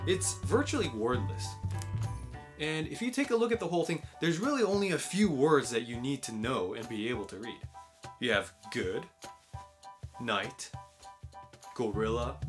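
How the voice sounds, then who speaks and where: American man with a very animated delivery. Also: on the high side. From United States. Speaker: host of a children's English learning channel. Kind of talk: explanatory book recommendation and picture-book read-aloud.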